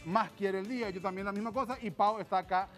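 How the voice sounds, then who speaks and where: A middle-aged man running a TV cooking segment with playful banter, presenting dishes like a showman. His voice is high for a man, with faint studio music underneath. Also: steady tone, quick pace, fairly bright tone. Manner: jokey, showman. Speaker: TV cooking show host. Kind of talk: lively TV cooking show banter. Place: TV studio kitchen set.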